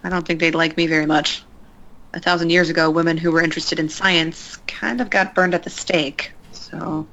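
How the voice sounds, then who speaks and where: An actress playing a reserved woman scientist who gives calm, terse instructions over a radio link. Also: sits low, moderate pace, highs cut off. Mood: guarded. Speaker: scientist guiding a spacewalk. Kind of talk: audio drama acting.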